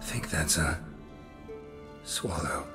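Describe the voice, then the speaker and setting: A stoic monster hunter in a fantasy game. His voice is deep and gruff, his lines short, dry and sardonic. Music is loud around him. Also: drawn-out words, heavily acted. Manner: terse, deadpan, sardonic. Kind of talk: video game voice acting.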